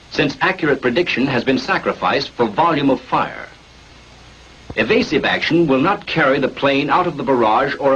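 A man's voice: mid-range voice, fairly bright tone. An American man reading scripted 1940s military training film narration in an authoritative, instructional manner. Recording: muffled, noisy 1940s film soundtrack.

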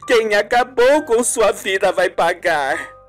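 A man's voice: high voice, animated, slow, soft background music. A man voicing a middle-aged mother in grief, tearful and vengeful, in an amateur radio soap opera. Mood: bitter, pleading. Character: grieving, angry middle-aged mother of a murdered actress.

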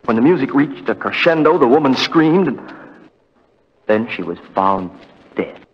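An actor playing a highly nervous young man, anxious and urgent, in 1940s radio drama style. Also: expressive, a little slow, slightly rough. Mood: agitated, pleading. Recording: dull, noisy, echoing 1940 radio recording.

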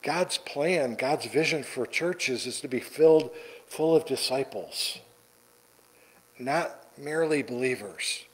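A middle-aged man preaching a sermon from the pulpit in a church sanctuary, deliberate and repeating words for emphasis. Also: medium pitch, rough recording. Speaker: pastor. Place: church sanctuary, large indoor room.

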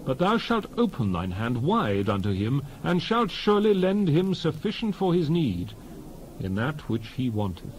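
Man reading scripture dramatically, widely swooping pitch. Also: big pitch swings, slight background noise, rough recording. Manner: solemn, declamatory, measured phrasing. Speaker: Bible reader.

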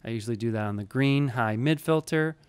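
A man in his thirties teaching audio editing in a relaxed, explanatory way, recorded on a studio microphone in a small home studio. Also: medium pitch, fairly quick, clean tone. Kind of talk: screen-recorded tutorial. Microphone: studio mic in frame, wearing headphones.

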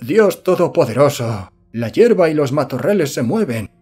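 A man's voice: on the high side, very animated, moderate pace, darkish tone, slightly rough, soft background music, voice pushed up. A man voicing a terrified rural villager crying out in panic in an audiobook horror tale. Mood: terrified, awestruck. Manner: panicked, exclaiming.

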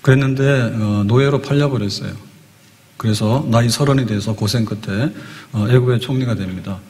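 An older man preaches from a church pulpit into a podium microphone, his voice trailing long hall reverb. He explains step by step like a storyteller, often asking rhetorical questions. His pitch sits in an ordinary middle male range. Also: normal speed, a little mellow. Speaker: pastor. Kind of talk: sermon.

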